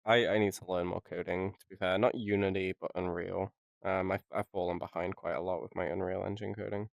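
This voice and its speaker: A young man with a lowish voice trades self-mocking jokes on a podcast, laughing often as he talks. The sound is dry and close, with no room around it. His delivery is loose and casual. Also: level tone, brisk, vocal fry, no echo. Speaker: podcast co-host. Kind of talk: casual chat.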